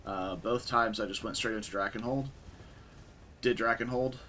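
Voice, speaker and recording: Young man, raspy voice, bright tone, laid-back streamer chat, faint hiss behind. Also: fairly low pitch, moderate pace. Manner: relaxed, chatty, answers chat. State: raspy voice after a concert. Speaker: game streamer. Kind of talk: casual live-stream commentary.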